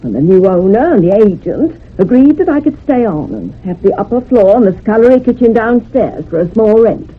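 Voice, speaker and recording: An actress playing a widowed, house-proud village housekeeper, chatty and matter-of-fact, in a radio drama. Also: female voice, a little high, lively intonation, a little fast, few pauses, husky. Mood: explaining. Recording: muffled lo-fi old radio recording.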